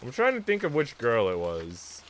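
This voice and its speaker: A man's voice: medium pitch, huge pitch swings, moderate pace, fairly bright tone. A man on a live stream, reading visual novel text aloud and chatting casually with viewers. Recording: noisy mic with typing sounds.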